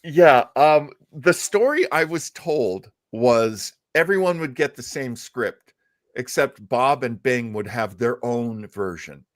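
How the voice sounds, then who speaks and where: A man's voice: mid-range voice, expressive, slow pace. A man hosting a casual live talk show, telling stories in a chatty, jokey, self-deprecating way. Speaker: host. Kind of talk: casual live show chat about old-time radio.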